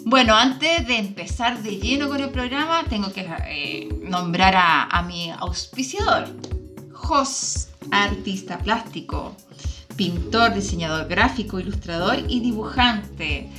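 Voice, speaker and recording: A woman's voice: medium pitch, lively intonation, slow, music in the background. A woman with a Chilean Spanish accent, hosting a travel podcast in a casual, informal, chatty way. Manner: chatty, informal, prompts her guest with questions. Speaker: podcast host.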